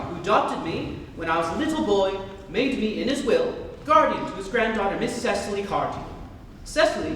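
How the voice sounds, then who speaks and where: A teenage male student playing an earnest, evasive and easily indignant young Victorian gentleman in a formal, theatrical dramatic reading. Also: high-pitched. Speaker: student actor. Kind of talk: dramatic stage reading of a comedy. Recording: far from mic, long hall echo, noise.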